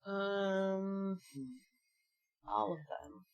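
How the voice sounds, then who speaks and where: A woman's voice: medium pitch, fairly even intonation, extremely slow, dull audio, a little hiss or hum. A woman podcast co-host bantering casually with friends in a giggly, rambling way. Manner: giggly, frequently swearing, rambling.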